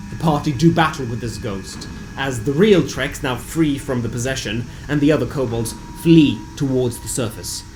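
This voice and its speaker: A man reading a scripted fantasy game recap as a storyteller, with deliberate, theatrical phrasing. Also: mid-range voice, background noise. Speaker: narrator of a tabletop game session recap. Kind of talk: scripted dramatic storytelling narration. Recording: music bed behind the voice. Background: background music.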